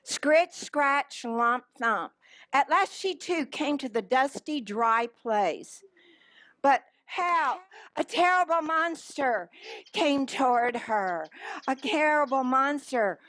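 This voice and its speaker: A woman with a high voice reads a children's story aloud at a very slow pace. She draws words out for dramatic emphasis and puts questions to her young listeners. The sound is close and dry. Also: expressive, fairly bright tone, no room sound. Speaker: children's library story time reader. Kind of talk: animated picture-book read-aloud.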